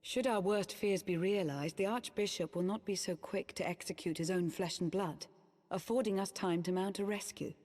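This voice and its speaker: Casting: actress as loyal foreign-born lady knight, fantasy game, measured and earnest, mid-pitched, long hall reverb. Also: a little mellow, natural voice. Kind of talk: formal archaic fantasy game dialogue.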